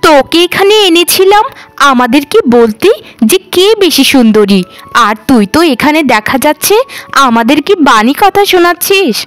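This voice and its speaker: A woman voicing a jealous, sharp-tongued middle daughter who nags sarcastically in a cartoon. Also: sits high, expressive, moderate pace, soft background music. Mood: scornful. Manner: nagging, sarcastic. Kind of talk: cartoon voice acting.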